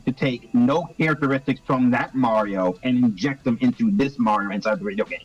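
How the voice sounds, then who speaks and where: A man's voice: medium pitch, brisk, lo-fi sound, over a noisy crowd. A man co-hosting a live call-in stream, earnest and stumbling over his words as he argues a point. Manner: earnest, stammering restarts. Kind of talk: casual call-in discussion.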